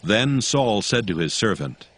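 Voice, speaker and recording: A man narrating scripture from a script in a measured storytelling manner. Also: lowish voice, sing-song, a bit bright, breathy edge.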